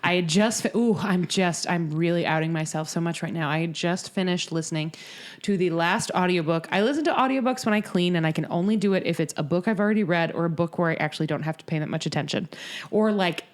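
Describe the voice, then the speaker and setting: A woman's voice: on the low side, fast talker, few pauses. A woman co-hosting a podcast in casual chat, wry and rambling with many fillers. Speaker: podcast co-host.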